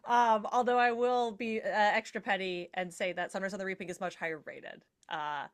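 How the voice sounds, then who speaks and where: A woman with a mid-pitched voice chats as a podcast panel guest. Her manner is jokey and playfully emphatic, and her style is loose and conversational. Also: steady tone, moderate pace. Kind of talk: casual discussion.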